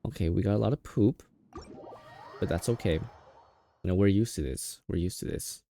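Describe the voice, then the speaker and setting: A man's voice: low-pitched, normal speed, gravelly, dark tone, slight background noise. A man livestreaming a game in casual chat, sounding tired, rambling and playfully teasing. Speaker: game streamer. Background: game music.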